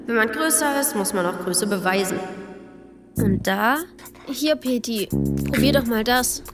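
A woman's voice: a little high, unhurried, faint music behind, lightly acted. A woman playing a wry big sister who narrates a family radio play with youthful expression. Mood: fond, wry.

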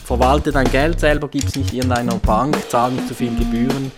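A man's voice: medium pitch, steady tone, moderate pace, music in the background. A man talking conversationally as a money adviser, earnest and hesitant, repeating his phrases. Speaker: self-styled money adviser.

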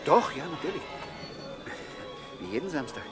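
A man's voice: fairly high pitch, very animated, moderate pace. An actor playing a dejected, penniless male suitor in a 1960s German radio play, speaking in a dry, hesitant, subdued way. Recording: 1960s radio recording, music behind.

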